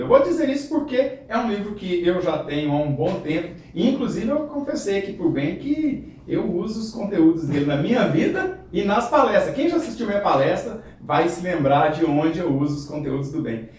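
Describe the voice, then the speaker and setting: Animated man, far off in a very echoey room. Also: high-pitched, moderate pace, hardly any pauses. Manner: animated, many fillers like "é" and "né". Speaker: channel host and interviewer. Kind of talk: interview, enthusiastic introduction.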